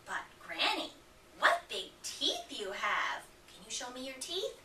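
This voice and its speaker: A woman's voice: a little high, huge pitch swings, slow, bright, forceful tone, a little hiss or hum, heavily acted. A woman voicing an innocent, trusting young girl in a sweet, wide-eyed way, recorded in an echoey room from a distance. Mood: wondering.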